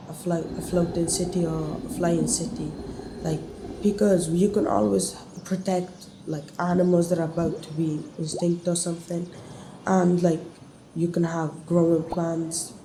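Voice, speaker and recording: A woman's voice: lowish voice, flat delivery, a little slow. A young woman talking casually and loosely about her own ideas, often saying "like". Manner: loose, peppered with "like". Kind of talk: casual personal talk. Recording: roomy, faint background noise.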